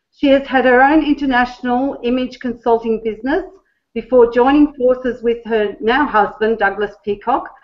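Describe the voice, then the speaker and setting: A woman hosts a webinar and reads a scripted introduction in a slightly higher voice. A few ums slip in. The sound is dull and lo-fi, as on a call line. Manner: reads from a script with some fillers. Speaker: webinar host introducing the speaker. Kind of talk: read-out introduction. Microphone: conference call line.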